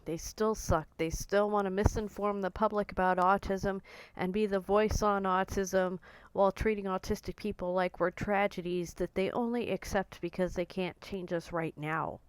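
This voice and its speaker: Blunt, slightly croaky woman in her forties. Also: medium pitch, fairly even intonation, moderate pace, no room sound, low-quality recording. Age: 40s. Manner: blunt and outspoken. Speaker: vlogger. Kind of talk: casual monologue to camera.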